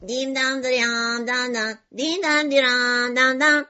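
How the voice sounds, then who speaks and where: A woman's voice: sits high, fairly even intonation, bright, forceful tone, pitched above the performer's own voice. Woman doing a cheerful sing-song boy. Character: cheerful singing little boy. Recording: dull lo-fi audio.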